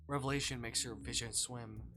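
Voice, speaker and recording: A man livestreaming, reading a visual novel aloud in a dramatic, hushed storytelling way. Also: medium pitch, fairly even intonation, brisk. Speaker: streamer. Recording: faint music behind. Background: faint music.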